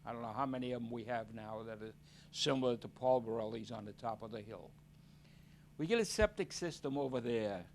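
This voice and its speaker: A man's voice: mid-range voice, brisk, a little hiss or hum. A man from town speaking during public comment at a meeting, blunt and plainspoken.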